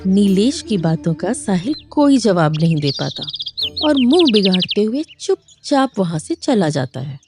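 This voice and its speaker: A woman's voice: mid-range voice, sing-song, measured pace, music in the background. A woman narrating a scripted moral story for children.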